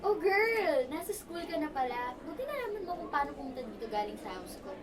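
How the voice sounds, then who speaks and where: A woman's voice: sits high, very animated, brisk, background noise. A woman with a Filipino accent playing a scheming, snobby college party girl in an amateur radio drama. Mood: mocking. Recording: distant mic under loud music and crowd.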